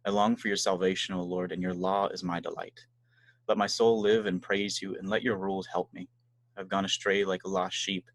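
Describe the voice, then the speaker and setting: A man's voice: low voice, level tone, normal speed, dry recording. A man reading verses of scripture aloud. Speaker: Bible reader.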